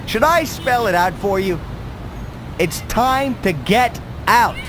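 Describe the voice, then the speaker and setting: An actor playing a worried, streetwise police handler in a video game, blunt and urgent, with an American accent. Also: male voice, high voice, animated, slow. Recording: noisy outdoor urban cutscene with vehicles.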